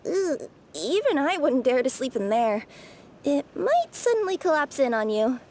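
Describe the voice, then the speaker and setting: A woman voicing a feisty, blunt but helpful female cat courier in animated game dialogue. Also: high-pitched, moderate pace, a little hiss or hum. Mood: curious, upbeat.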